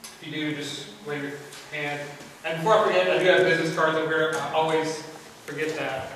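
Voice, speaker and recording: A man with a loud voice giving a relaxed, joking presentation to a conference audience. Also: a little high, a little fast, a little hiss or hum. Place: large convention center meeting room. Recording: echoey hall from far off.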